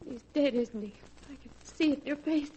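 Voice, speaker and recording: A grief-stricken young woman, played by an actress in a 1940s radio horror play. Her high voice is tearful and fearful, on a slightly noisy vintage recording. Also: a little fast, warm tone, close to an everyday voice. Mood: grieving dread.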